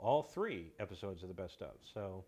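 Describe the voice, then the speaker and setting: A man with a fairly low voice talks as a guest in a relaxed interview. He feels his way through sentences haltingly, doubling back on words as he goes. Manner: hesitant, halting, repeats words mid-sentence. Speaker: guest, writer and creator of a comedy audio show. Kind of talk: casual interview chat.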